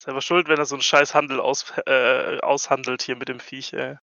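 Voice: mid-range voice, level tone, fairly quick, bright, forceful tone, no echo, dull audio. A player in a tabletop game podcast bantering casually in a cheeky, slangy way.

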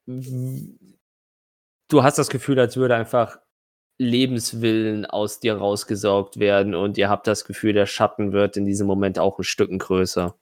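Male game master for tabletop fantasy play, lower register, close dry recording, improvising narration and rulings in a halting way. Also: little pitch movement, no echo. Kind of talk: running a tabletop role-playing game, improvised narration and rules talk.

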